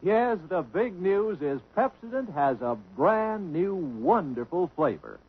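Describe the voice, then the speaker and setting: A man's voice: high voice, very animated, slow pace, over loud music. A man reading a 1950s radio commercial in an eager, salesy way. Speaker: commercial announcer. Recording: muffled old radio broadcast.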